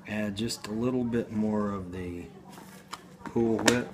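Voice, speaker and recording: Slow, deadpan man in a home kitchen. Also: on the low side, heavy background noise. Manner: slow, rambling, deadpan, with hesitations. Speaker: home cooking video host. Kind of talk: casual home cooking demo. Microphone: phone camera on the counter.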